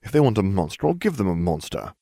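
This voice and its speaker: A man's voice: deep voice, very animated, quick pace, gravelly, mellow, dry recording, studio quality, exaggerated voice. A man voicing an arrogant, scheming schoolboy wizard who talks in a haughty, self-satisfied way.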